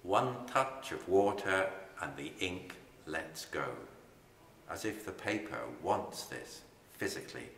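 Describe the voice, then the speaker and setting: An older man, a poet, reading and introducing his poetry in a measured, deliberate way with pauses. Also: low voice, expressive, a bit bright. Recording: echoey reverberant hall with background noise.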